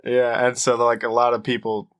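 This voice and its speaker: A man with a mid-pitched voice, co-hosting a podcast. He is laid-back and jokey, rambling through stories in an informal way and swearing casually. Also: monotone, normal speed, fairly bright tone. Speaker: podcast co-host. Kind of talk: casual chat, rambling storytelling.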